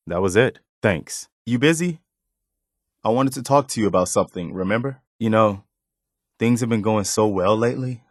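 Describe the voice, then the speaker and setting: An American man voicing an earnest, principled, loyal militia companion in a video game, speaking in a measured, plainspoken way. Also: lowish voice, no echo, clean studio sound, lightly acted. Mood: dutiful, businesslike. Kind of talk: naturalistic video game voice acting.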